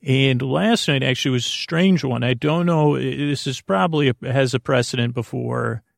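Male sleep podcast host, gravelly and creaky, dry close sound, halting, wandering bedtime monologue full of uhs. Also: medium pitch, no room sound. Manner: meandering, halting, tangential, full of drawn-out uhs.

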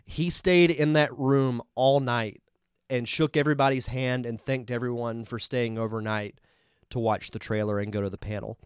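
A man's voice: mid-range voice, smooth, no echo, clean studio sound. A man with a congested voice hosting a live podcast, chatting casually and enthusiastically as he reviews a film. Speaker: podcast host.

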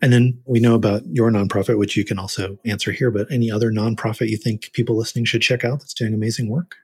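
Husky-voiced male podcast host. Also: lowish voice, flat delivery, fast, no room sound. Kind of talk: podcast interview and sign-off.

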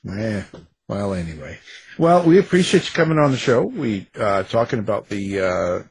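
A man hosting a radio show, chatting casually and asking halting, rambling questions. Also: fairly low pitch, big pitch swings, slightly rough, dry recording. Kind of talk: casual interview chat.

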